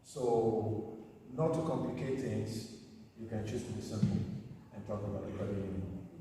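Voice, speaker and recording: A man moderating a community meeting, hesitant and full of fillers as he keeps things moving against the clock. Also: on the low side. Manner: hesitant, many fillers, hurried by time. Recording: echoey hall, distant mic, room noise.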